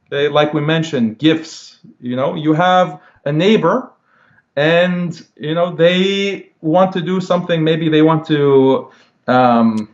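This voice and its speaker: A man's voice: on the high side, lo-fi sound. A man explaining religious terms in a discussion and asking the sheikh to correct him.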